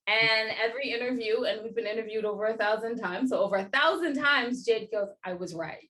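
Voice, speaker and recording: Young US woman, guest author, playful storyteller, slightly high voice. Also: fairly quick, pushed and bright. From United States. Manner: playful storytelling, self-mocking jokes. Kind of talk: casual interview chat.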